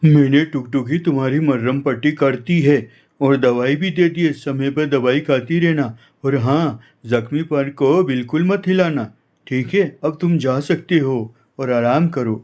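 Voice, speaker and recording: A man as mid-pitched, advising bird doctor. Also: a little mellow, close to an everyday voice. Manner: measured, advising. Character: instructive, caring bird doctor. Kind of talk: animated story acting.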